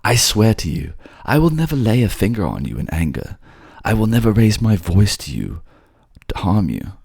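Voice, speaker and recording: A man voicing a gentle, protective male sea creature in an ASMR roleplay, tender and earnest. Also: fairly low pitch, wildly swooping pitch, moderate pace, creaky, husky, no echo, clean studio sound, pitched above the performer's own voice, heavily acted.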